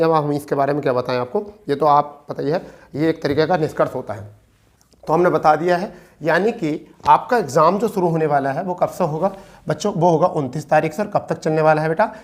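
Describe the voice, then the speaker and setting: Higher-pitched male teacher. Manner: repetitive, checks in with listeners often. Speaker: exam-prep teacher and presenter. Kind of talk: informal explainer lesson addressed to students.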